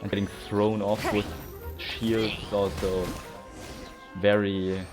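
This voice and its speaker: A young man with a low voice chats his way through a video game. He rambles casually in slangy phrases, with game music clearly playing behind him. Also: level tone, slow. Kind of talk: casual let's play commentary.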